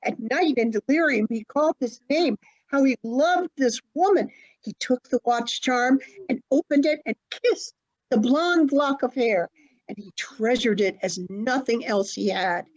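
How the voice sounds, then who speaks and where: A woman's voice: high voice, unhurried. Woman acting forgetful genteel old lady, muffled audio. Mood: earnest reminiscence. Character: elderly forgetful genteel lady, mother of a grown son. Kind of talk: stage acting in an online one-act play. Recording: dull lo-fi online call audio.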